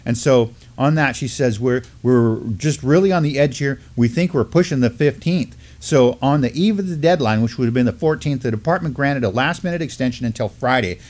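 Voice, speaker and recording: A middle-aged man co-hosting a news show, reading and discussing articles in an informal, halting way. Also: mid-range voice, darkish tone. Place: indoor studio at a desk.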